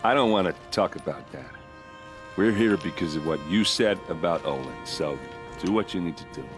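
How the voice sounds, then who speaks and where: An actor playing a gruff, hard-drinking, grieving soldier in a video game, blunt and defensive but loyal. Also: male voice, low voice, animated, normal speed, over music.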